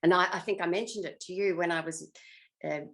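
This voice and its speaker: Older lady, sixties or up, voice a bit low, casual interview chat, kinda thinks out loud. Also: fairly even intonation, brisk. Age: 60s or older. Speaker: retired actress being interviewed.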